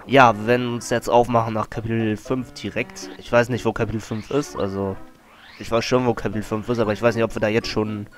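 A slightly sick man casually commenting on a game he is playing, low-key and offhand, mumbling now and then. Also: flat delivery, brisk. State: a little sick. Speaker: let's play host. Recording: faint game music behind.

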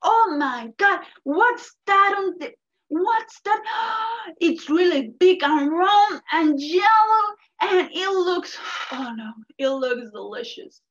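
A woman voicing a hungry, gullible male coyote who whines, pleads and shouts. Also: high voice, slow, highs cut off. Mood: eager.

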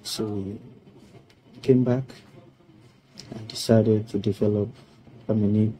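A middle-aged man from Sierra Leone giving a formal testimonial about a project he completed, speaking in a slow, measured way on a video call. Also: lowish voice, flat delivery, dark tone, poor audio. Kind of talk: prepared testimonial speech. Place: indoor room. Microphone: webcam on a video call.

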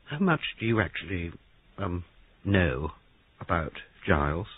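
A man voicing a flighty, childish, excitable young man in an audiobook story, boyish and hesitant. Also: mid-range voice, normal speed, breathy edge, voice pushed up, heavily acted. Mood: careful. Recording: narrow-band lo-fi radio-like sound.

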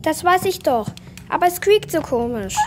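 A woman's voice: high-pitched, expressive, normal speed, slight background noise. A woman playing a timid, worried young pet owner in a comic audio drama, hesitant and meek.

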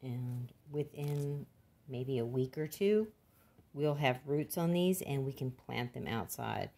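Low-pitched older woman, folksy how-to. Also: animated, takes it slowly, vocal fry, warm tone. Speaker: gardening demonstrator. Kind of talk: casual how-to narration.

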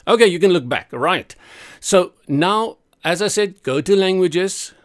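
A man's voice: high voice, expressive, a little slow. A man with a South African accent whose first language is Afrikaans, walking through a screen-recorded web design tutorial in a chatty way, correcting himself as he clicks through each step.

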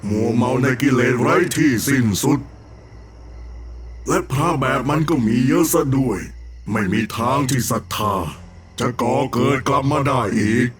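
A man voicing a malevolent demon monk in an animated horror story, crude and scornful in a declamatory way. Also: mid-range voice, a little slow, close to an everyday voice. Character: malevolent demon abbot monk, contemptuous and menacing.

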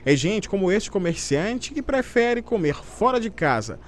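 A man's voice: high voice, big pitch swings, measured pace, a little hiss or hum. A man with a Brazilian accent narrating a scripted TV news report.

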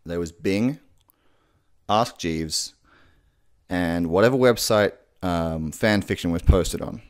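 A man's voice: sits low, takes it slowly. A man in his twenties hosting a video with casual, sweary, comedic talk to camera. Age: 20s. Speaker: video host. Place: living room, indoors. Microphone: desktop condenser microphone, close.

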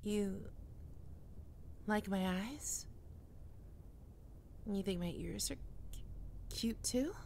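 Woman acting as young tsundere catgirl, slow-paced, creaky voice, hesitant, snarky, easily flustered, ASMR roleplay. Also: mid-range voice, a little mellow, slight background noise. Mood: flustered, embarrassed. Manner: hesitant, stammering, teasing, tsundere bluster. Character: flustered, defensive young half-vampire catgirl roommate with a snarky streak.

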